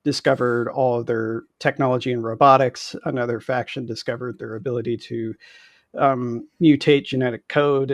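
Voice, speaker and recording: A man in his thirties, a guest game designer, explaining haltingly on a video call with many "like"s and "um"s. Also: mid-range voice, dry recording, studio quality. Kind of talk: explaining in casual interview. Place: indoor room, on a video call.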